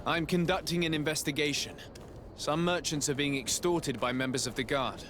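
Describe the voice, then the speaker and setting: An actor playing a composed, insistent noble legate in a video game, speaking formally and persuasively. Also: male voice, on the high side, steady tone, moderate pace, background noise, lightly acted. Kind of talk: game cutscene acting.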